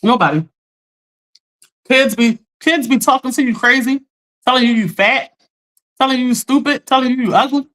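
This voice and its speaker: A woman's voice: moderate pace, long pauses, poor audio. Casual woman hosting a live chat, voice still recovering. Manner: chatty, talks straight to chat, animated pitch jumps. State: recovering from lost voice. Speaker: livestream host.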